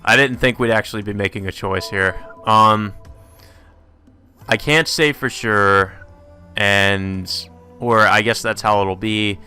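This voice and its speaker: A man's voice: lowish voice, fairly even intonation, slow pace, pushed and bright, faint music behind. A man doing a let's play, reading visual novel text aloud and commenting casually. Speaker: let's player.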